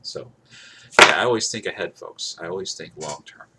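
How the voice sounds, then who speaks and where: A man's voice: deep voice, moderate pace. A man giving a casual product demonstration to camera, rambling and repeating himself for emphasis. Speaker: gadget reviewer. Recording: clear background noise.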